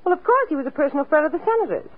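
A tinny, faintly noisy old broadcast carries a cool, scheming secretary. Her voice is high and lively in pitch. She is curt and sharp-tongued, in forties radio noir style. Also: very fast. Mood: defensive, curt. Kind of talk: 1940s radio crime drama acting.

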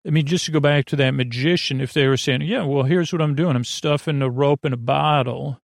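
A man hosting a sleep podcast in a rambling, conversational bedtime monologue, halting and drifting off on tangents in a gravelly voice. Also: a little high, dry recording, studio quality.

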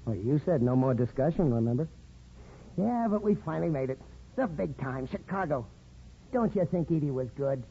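An actor playing an eager, earnest young son, a little hesitant, in 1950s radio drama style. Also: male voice, a little high, animated, moderate pace, creaky, slightly rough, full character voice. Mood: proud, hopeful. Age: young adult. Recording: muffled 1950s radio broadcast, noisy.

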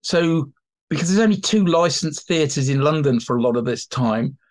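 A man's voice: fairly high pitch, expressive, normal speed. A man speaking as an expert guest in an interview, explaining theatre history in a colloquial, anecdotal way. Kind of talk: informal explanatory interview talk.

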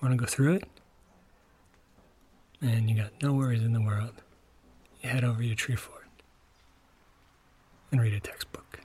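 A man speaking in a soft, gentle, breathy whisper as he introduces a bedtime podcast. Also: mid-range voice, steady tone, normal speed, pauses often. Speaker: podcast host introducing an episode. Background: music.

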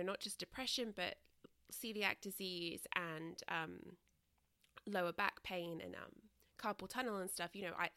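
A woman host raised in Britain, talking through a casual solo podcast, a little congested and croaky, candid and rambling with many ums. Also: medium pitch, bright, forceful tone, dry recording. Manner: chatty and candid, many ums and self-corrections.

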